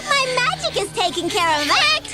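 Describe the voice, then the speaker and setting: A woman's voice: extremely high pitch, big pitch swings, fairly quick. A woman playing a wicked, taunting magical girl in a dramatised audiobook, theatrical, domineering and mocking. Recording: music under the voice.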